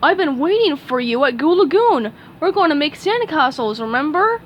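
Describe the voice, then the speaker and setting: A woman's voice: sits high, very animated, moderate pace, dull audio, a little hiss or hum, voice pushed up. Woman doing a dim, cheerful starfish guy. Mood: goofy. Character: dim, friendly male starfish.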